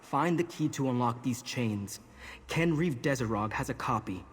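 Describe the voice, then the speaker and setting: A man's voice: mid-range voice, expressive, moderate pace, a little hiss or hum, natural voice. An actor playing a defiant, wry male prisoner awaiting sacrifice in a fantasy game, with a scornful, dramatic delivery. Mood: wry, urgent.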